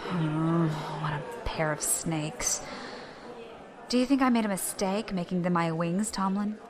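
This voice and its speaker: A woman's voice: lowish voice, measured pace, crowd noise, close to an everyday voice. Woman as a wry, confident clan leader. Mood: wry, guarded. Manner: dry, casual authority. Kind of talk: science fiction audio drama.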